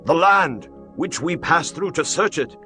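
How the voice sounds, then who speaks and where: Ancient Israelite scout played by a man: earnest, bold, declamatory, animated range, faint music bed, scripture drama. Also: on the high side, measured pace. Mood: urging.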